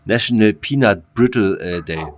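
A man's voice: lowish voice, normal speed, a little hiss or hum. A man co-hosting a podcast in casual banter, colloquial and teasing with a mock-serious streak. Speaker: podcast co-host.

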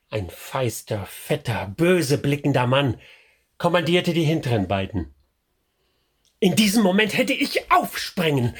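A man narrating a radio drama in the first person, measured and reflective. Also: fairly high pitch, breathy edge. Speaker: narrator character.

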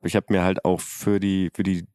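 Deep-voiced laid-back man. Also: little pitch movement, moderate pace, darkish tone, clear, resonant tone, no echo, clean studio sound. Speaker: podcast co-host. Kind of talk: casual podcast chat.

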